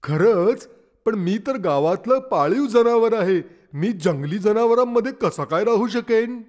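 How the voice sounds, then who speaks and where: A skinny, naive male donkey from a children's storybook, played by a woman. He sounds plaintive and easily fooled, with his voice pitched somewhat below hers. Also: animated, measured pace, a little mellow, lightly acted. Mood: doubtful. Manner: gullible, plaintive.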